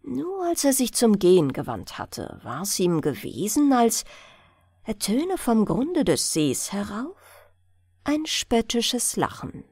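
A woman narrates a bedtime fairy tale as an audiobook reader. Her voice sits on the high side and moves with lively, varied intonation. She takes her time, telling the story expressively. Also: slow pace, husky, dry recording, clean studio sound.

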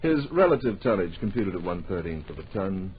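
An actor as the earnest, dramatic narrator of a radio drama, delivering scripted adventure storytelling in a grand, deliberate manner. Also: male voice, low-pitched, very animated, a little hiss or hum. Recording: dull old radio recording.

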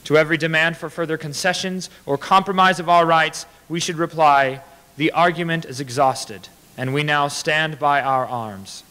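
Bright-voiced young man reciting in a hall. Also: on the high side, fairly even intonation, moderate pace, clear, resonant tone. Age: young adult. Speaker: reader at a staged dramatic reading. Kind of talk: formal dramatic reading of historical speeches. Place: large indoor hall with small audience. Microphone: podium microphone. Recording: echoey hall, podium microphone.

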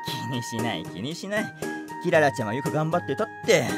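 A man's voice: fairly high pitch, big pitch swings, a little fast, loud music behind the voice. An actor playing a cocky, flirtatious male voice actor with breezy, slangy talk in a voice drama. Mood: breezy, friendly. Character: swaggering, flirtatious male voice actor, unhappily married. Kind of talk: voice drama acting.

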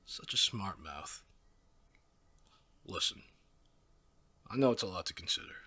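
A man playing a smug, menacing werewolf pack leader in an ASMR audio drama, speaking with raspy, mock-courteous condescension. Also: deep voice, lively intonation, measured pace, pushed and bright, exaggerated voice. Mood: condescending, veiled threat.

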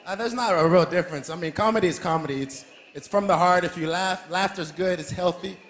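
A young man, a guest comedian on a studio stage, answering questions in a relaxed, humble way into a handheld mic. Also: high voice, brisk. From Kenya. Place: large indoor studio stage with audience.

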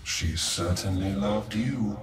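A very deep, taunting inner demon. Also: male voice, reverberant, far from the mic, heavy background noise. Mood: dry, needling. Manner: mocking, needling, deliberate. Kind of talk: video game dramatic acting.

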